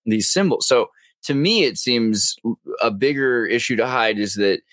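A man's voice: mid-range voice, normal speed, dry recording. A man hosting a podcast in casual, jokey chat, slipping into mock voices now and then. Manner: animated, jokey, mimics voices in asides. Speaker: podcast host.

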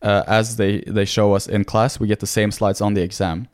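A man's voice: lowish voice, level tone, moderate pace, darkish tone. A young man in his twenties with a Norwegian accent, a non-native English speaker, talking earnestly and modestly as a guest in a casual interview. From Norway. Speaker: podcast guest, medical student.

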